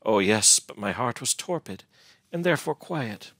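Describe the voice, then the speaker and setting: A man voicing a gentle, lonely, devoted young woman in a gothic storybook, with archaic, plaintive, imploring speech. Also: fairly low pitch, lively intonation, normal speed, no room sound. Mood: wistful sorrow. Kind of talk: dramatic gothic storybook reading.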